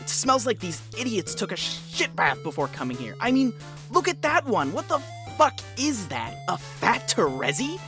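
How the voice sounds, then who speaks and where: A woman's voice: medium pitch, sing-song, normal speed, fairly bright tone, faint music behind. A woman voicing an irritable, foul-mouthed young male troll in a comic fan dub, abrasive and exasperated. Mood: exasperated ranting.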